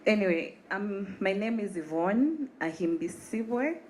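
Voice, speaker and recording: A woman's voice: medium pitch, lively intonation, a little slow, a little mellow, a little hiss or hum. A woman from Uganda introducing herself and her fashion business at a live event, telling her story in a friendly way. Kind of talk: casual onstage talk.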